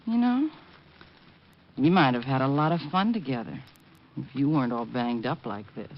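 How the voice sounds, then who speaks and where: Lively, flirty radio dame, swooping voice. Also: female voice, very deep voice, normal speed. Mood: teasing. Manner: flirtatious, breezy, colloquial. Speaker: actress in a radio crime drama. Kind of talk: old-time radio acting. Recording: muffled old radio recording.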